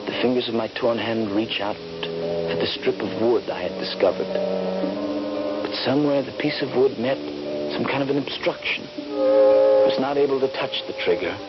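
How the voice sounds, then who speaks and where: Anguished soldier, wildly swinging pitch. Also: male voice, wildly swooping pitch, moderate pace. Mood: haunted, tense. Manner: anguished, theatrical, swinging from hushed whispers to cries. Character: trapped, wounded young Union soldier, desperate and haunted. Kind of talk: 1970s radio drama acting with first-person narration. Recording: dull 1970s radio recording, music behind.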